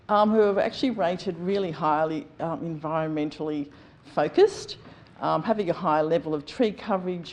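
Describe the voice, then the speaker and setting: A woman's voice: on the low side, a little mellow, a little hiss or hum. A woman asking council a question, hesitant and full of ums. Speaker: community member asking a question. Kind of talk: public question at a meeting.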